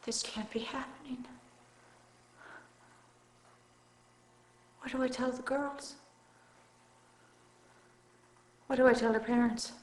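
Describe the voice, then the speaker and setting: A woman's voice: fairly high pitch, steady tone, brisk, darkish tone, close to an everyday voice. A woman playing an anxious, defensive boarding school headmistress in a video game. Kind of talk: FMV game acting.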